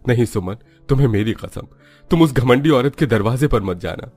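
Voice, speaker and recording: A poor, proud husband in despair, from a cartoon family drama, acted by a man. The voice is mid-pitched and plaintive, played melodramatically over a light music bed. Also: expressive, moderate pace, darkish tone, no room sound. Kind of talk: Hindi animated family melodrama.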